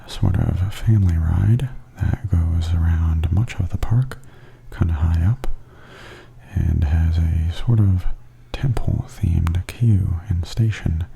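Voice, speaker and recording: A man giving softly spoken ASMR tour commentary in a hushed, breathy half-whisper. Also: sits low, takes it slowly. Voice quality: soft, breathy. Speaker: host of ASMR walking tour videos.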